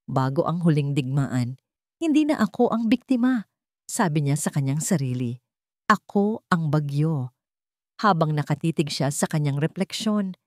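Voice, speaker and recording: A Filipino woman narrates a dramatic story in a fairly low voice. Her pitch moves in lively swings as she delivers the script in measured phrases with pauses. The sound is dry and close, with little room in it. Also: no echo.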